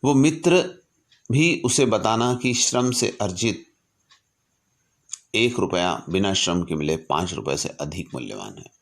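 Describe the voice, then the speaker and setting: A man with a fairly low voice speaks as a storyteller imparting lessons. His delivery is measured and instructive, with deliberate pauses between phrases, in a reflective recitation style. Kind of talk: reflective storytelling, reciting a letter from memory with asides.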